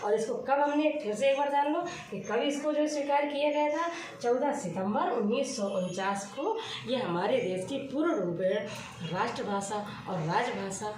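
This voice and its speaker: Woman teacher, somewhat high voice, quizzes herself and repeats answers, far from the mic in a very echoey room, audible noise. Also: animated, normal speed. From India. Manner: asks questions and answers them herself, repeats key points. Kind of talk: classroom grammar lesson.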